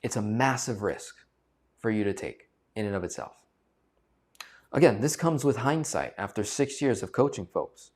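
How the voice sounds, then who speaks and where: A man's voice: lowish voice, lively intonation, moderate pace, darkish tone. A man talking to camera as a finance coach, emphatic and repeating phrases for stress. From United States. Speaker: finance coach and channel host. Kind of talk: direct-to-camera talk.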